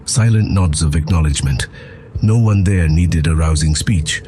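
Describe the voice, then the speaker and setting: A man's voice: low-pitched, moderate pace, slight background noise. A man giving grave, intense, theatrical first-person narration as the storyteller of an audio drama. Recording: loud music under the voice. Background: loud music.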